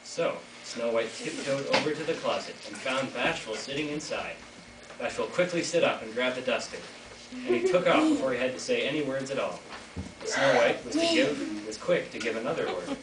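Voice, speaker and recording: A man's voice: mid-range voice, expressive, normal speed. Teenage male narrator in an echoey hall. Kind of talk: scripted fairytale narration, school readers' theater. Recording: echoey noisy hall from far off.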